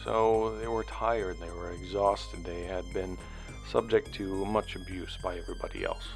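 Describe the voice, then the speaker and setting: Gravelly low-voiced man acting an earnest investigator. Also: steady tone, faint music behind. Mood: sombre, reflective. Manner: halting, deliberate, conversational. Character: earnest male investigator recording a log of findings. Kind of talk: sci-fi audio drama monologue and field interviews.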